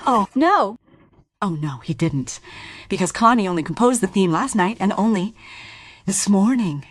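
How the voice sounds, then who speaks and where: An actress in a radio play as a distressed married woman confronting a man, husky and breathy, with halting, dramatic pauses. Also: medium pitch, lively intonation, no room sound, lightly acted. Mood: insistent, hushed.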